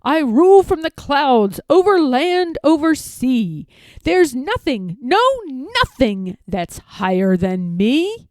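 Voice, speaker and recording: Woman voicing a pompous, high-pitched turtle king. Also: very animated, takes it slowly, darkish tone, no echo, full character voice. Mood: indignant, defiant. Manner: imperious, thundering, bellowing. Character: pompous, bossy, boastful male turtle king. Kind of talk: rhyming storybook read-aloud.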